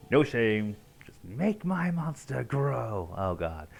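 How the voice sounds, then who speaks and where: A man's voice: mid-range voice, very animated, very slow, gravelly, husky. A man streaming a game, commenting casually and playfully as he reacts aloud. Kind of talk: casual live gameplay commentary.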